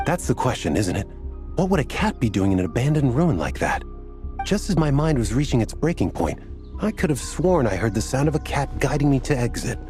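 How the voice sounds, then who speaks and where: A man's voice: mid-range voice, big pitch swings, a little fast, a little mellow, soft background music, natural voice. A man voicing an earnest, flustered man who meanders and gets sidetracked while recounting a strange ordeal. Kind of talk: game cutscene dialogue.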